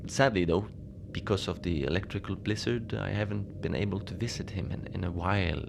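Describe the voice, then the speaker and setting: Over a clearly audible bed of noise, a man acts out a lonely station keeper in a cozy horror audio drama. His low voice has a lot of gravelly fry. He talks mildly and haltingly, treating strange things as everyday matters. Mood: wistful, musing. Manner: halting, conversational, understated storytelling with small hesitations. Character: lonely, mild, unbothered polar station keeper telling strange tales. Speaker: narrator of a fiction podcast. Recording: steady noise behind the voice.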